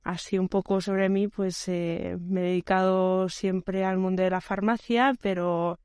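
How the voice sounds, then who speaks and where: A woman with a smooth, clear voice chats as a podcast guest. She is easygoing and feels for her words as she talks. The recording is close and dry. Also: mid-range voice, flat delivery, a little slow, a little mellow, dry recording. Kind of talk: casual conversational interview.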